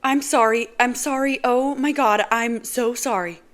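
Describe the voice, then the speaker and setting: Woman reading a distraught young woman, high pitched, pleading, audiobook voice. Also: unhurried, fairly bright tone. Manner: pleading, breathless apologies. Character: frightened remorseful young woman.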